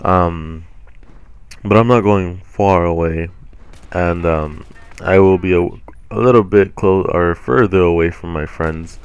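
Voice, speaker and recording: Young man, very deep voice, smooth and resonant, rambling gameplay chat, sore throat. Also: slow pace, dry recording, highs cut off. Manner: rambling, chatty, many ums and restarts. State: sore throat from swollen tonsils. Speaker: gaming channel host.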